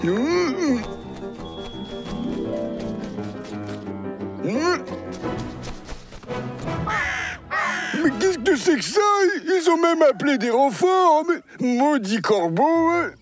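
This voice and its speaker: A fussy old cartoon grandpa, voiced by a woman, with a high, swooping voice that rises and falls a great deal. He speaks slowly and is easily flustered. A music score plays behind him throughout. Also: very animated, full character voice. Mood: puzzled. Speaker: dub actor. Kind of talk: dubbed cartoon acting.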